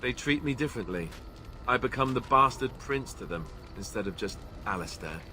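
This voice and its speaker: A man's voice: mid-range voice, lively intonation, normal speed, sharp tone, a little hiss or hum. An actor playing an earnest, self-deprecating young male warrior in a video game, with a wry, hesitant manner. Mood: troubled, confiding. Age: young adult. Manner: wry, sarcastic, awkwardly hesitant. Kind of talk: video game dialogue acting. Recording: music behind game dialogue.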